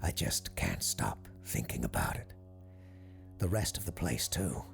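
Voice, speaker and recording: A troubled working man, voiced by a male narrator gives plain-spoken testimony in an audio drama. He sounds weary and haunted, low and creaky, over music. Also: big pitch swings, unhurried, heavily acted.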